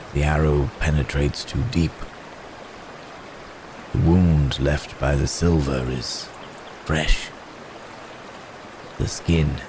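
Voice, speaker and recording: A man playing a wounded, courteous werewolf in an intimate ASMR roleplay, halting and strained with pain, with old-fashioned polite phrasing. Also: a bass voice, noisy background. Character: wounded, weary, courteous male werewolf hunted by men.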